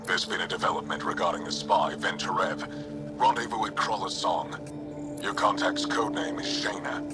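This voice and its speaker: A man's voice: low voice, moderate pace, lightly acted. A man playing a businesslike contact who briefs an agent in a video game. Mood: serious. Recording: loud music over the voice.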